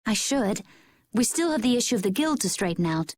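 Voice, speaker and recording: An actress playing a composed young woman adventurer in a video game, with measured, polished and subtly teasing delivery. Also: on the high side, sing-song, darkish tone. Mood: calm. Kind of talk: video game cutscene acting.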